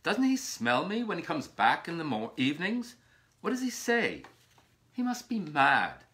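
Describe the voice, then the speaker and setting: Actor doing a cruel agitated lover guy in a stage play, sharp voice, pitch jumping all over. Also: wildly swooping pitch, measured pace, heavily acted. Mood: agitated, taunting. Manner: insistent, needling, repetitive. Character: cruel, agitated male lover ending an affair.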